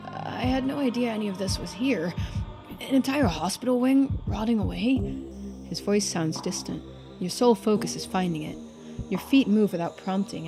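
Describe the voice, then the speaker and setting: A woman streamer giving chatty game commentary and expressive narration. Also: lowish voice. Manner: casual, chatty. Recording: music behind the voice. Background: music.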